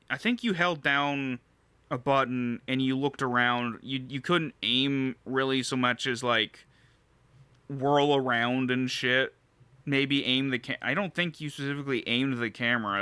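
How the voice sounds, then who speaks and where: A man with a bright, crisp voice talks through game rankings in a casual, unscripted way. He rambles and pauses to find his words, dropping the odd swear. The sound is dry and close. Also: mid-range voice, no room sound. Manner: rambling, halting, casually profane. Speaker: video game reviewer and streamer.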